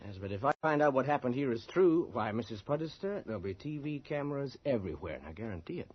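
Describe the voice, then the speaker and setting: A man's voice: medium pitch, animated, quick pace, husky. A male actor playing a courteous, ingratiating and persistent newspaper reporter in a radio drama. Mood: eager. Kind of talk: 1980s radio drama acting. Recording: muffled old radio broadcast.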